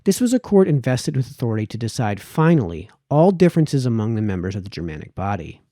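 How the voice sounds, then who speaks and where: Guy reading an old formal essay out loud, soft mellow tone, lots of up and down, dry sound. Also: medium pitch, sing-song, fast, dry recording. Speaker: amateur audiobook reader. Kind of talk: read-aloud of a formal political essay, audiobook narration.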